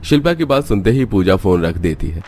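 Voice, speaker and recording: A man's voice: lowish voice, big pitch swings, a little fast, a little mellow, a little hiss or hum. A man reading scripted narration for a cartoon story. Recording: music playing behind the voice. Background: background music.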